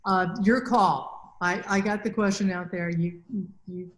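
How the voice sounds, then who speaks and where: Lower-voiced woman host on a video call. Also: fairly even intonation. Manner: enthusiastic, halting with restarts. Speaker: podcast host. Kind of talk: casual interview over video call. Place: indoors, plain room. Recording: faint background noise.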